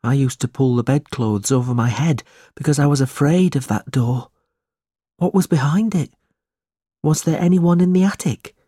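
A man's voice: a little high, a little slow, mellow, slightly rough, dry recording, clean studio sound, pitched above the performer's own voice. A man voicing a weak, timid orphan boy in a storybook, sad and fearful.

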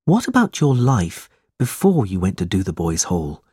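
A man's voice: low voice, huge pitch swings, normal speed, dark tone, husky, no room sound, studio quality, full character voice. A man voicing a brave, protective young man in a storybook, speaking earnestly and with defiance.

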